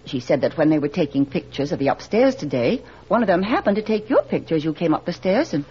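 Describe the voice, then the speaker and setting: A woman's voice: fairly low pitch, very animated, fast talker, warm tone, breathy edge, exaggerated voice. An actress playing a doting, chatty middle-aged small-town mother in 1940s radio style, folksy and deferential. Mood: apologetic, flustered. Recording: dull 1940s radio recording.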